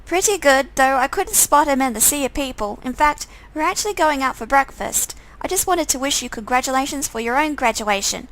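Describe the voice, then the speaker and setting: A woman's voice: high-pitched, fairly quick, few pauses, fairly bright tone, poor audio. A woman voicing a doting, teasing mother in a visual novel game. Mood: chatty, affectionate.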